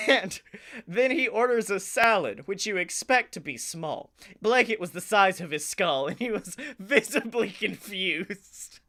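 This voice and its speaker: An American man co-hosting a comedy podcast, telling stories casually in an animated, sweary way with chuckles in his speech. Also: high voice, unhurried. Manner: animated, swearing, often chuckling while talking. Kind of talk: casual comic storytelling.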